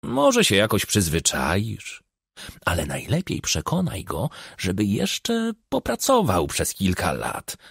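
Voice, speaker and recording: A man voicing an old village witch, bossy and sly, with a screechy, croaking voice and a commanding, self-assured manner. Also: on the low side, wildly swooping pitch, slow, fairly bright tone, no echo, clean studio sound, exaggerated voice. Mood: advising.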